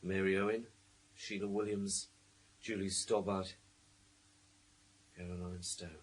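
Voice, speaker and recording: A man's voice: low-pitched, level tone, low-quality recording. A man playing a plodding police sergeant with dry, wry asides in a radio thriller. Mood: methodical, flat. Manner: deliberate, itemising delivery with wry asides. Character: plodding, dryly sardonic male police sergeant.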